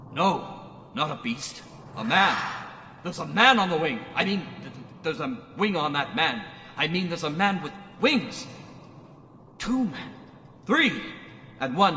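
A man playing a plucky, bewildered old-fashioned space hero in a radio serial, exclaiming and talking to himself haltingly. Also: medium pitch, bright, forceful tone. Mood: astonished. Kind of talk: pulp radio serial acting. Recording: dull, reverberant, faint noise.

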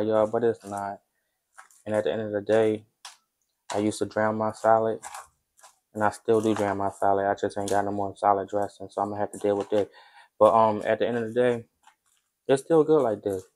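A young man chatting casually to his vlog viewers while he works in his kitchen at home. He talks in a relaxed, chatty way and keeps calling the audience "y'all". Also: fairly low pitch, moderate pace, pauses often, slight background noise. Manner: laid-back, chatty, addresses viewers as y'all. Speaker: vlogger.

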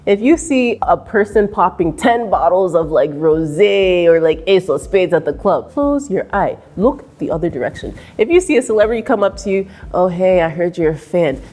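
A woman in her twenties with an American accent, giving sassy, animated advice straight to camera in an indoor room. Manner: sassy and animated, mimics voices in high squeals. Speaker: vlogger. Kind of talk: direct-to-camera advice vlog. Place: indoor room with painting behind.

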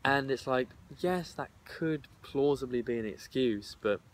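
A man's voice: medium pitch, unhurried. Young man, stammering, reflective, recorded outdoors by a far camera. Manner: thoughtful, stammers and repeats words. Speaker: discussion participant. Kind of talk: casual group discussion. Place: outdoors at a garden table.

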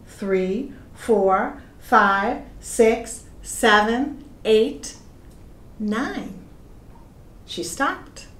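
Middle-aged woman, children's read-aloud, unhurried pace, expressive delivery, echoey room. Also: a little high. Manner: deliberate, expressive, addressing young listeners with questions. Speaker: pastor reading stories to children. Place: indoor room with plain walls.

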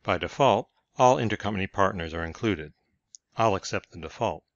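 A man reading scripted software tutorial narration in a measured, instructional manner. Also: deep voice, lively intonation, no echo, highs cut off.

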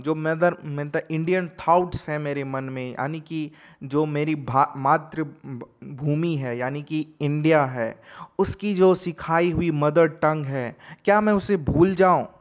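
A man explains a poem line by line, speaking slowly and deliberately. His pitch sits a little higher than most men's. He reads each verse line at a crawl, then explains it in a mix of Hindi and English. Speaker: teacher. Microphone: voice-over over text slides.

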